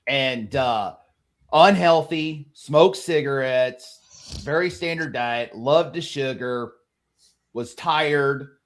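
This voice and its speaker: Man in his forties, mid pitch, plain personal storytelling, casual panel chat. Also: slow pace. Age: 40s. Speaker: panel guest.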